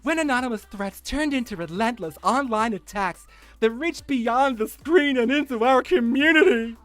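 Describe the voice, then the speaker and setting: A man's voice: very high voice, normal speed, fairly bright tone, soft background music, voice pushed up. A man voicing a melodramatic, self-pitying woman in a theatrical, mock-solemn dramatic reading. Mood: anguished.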